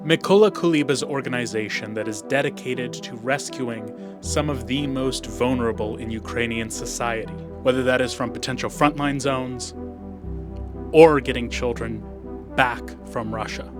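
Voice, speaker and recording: A man's voice: medium pitch, moderate pace, music in the background. A man hosting an interview, giving an earnest, formal introduction and then asking questions. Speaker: interview host.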